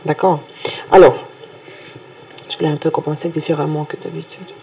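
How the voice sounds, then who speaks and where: A woman with a Russian accent, a native Russian speaker, presenting a weekly horoscope video in a halting way full of hesitations and restarts. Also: deep voice, steady tone, creaky, mellow, slight background noise, rough recording. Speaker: astrologer.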